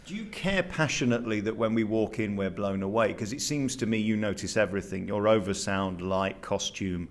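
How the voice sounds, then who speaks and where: A man's voice: fairly low pitch, a little fast, hardly any pauses. A man interviewing in an enthusiastic, effusive and emphatic way about theatre.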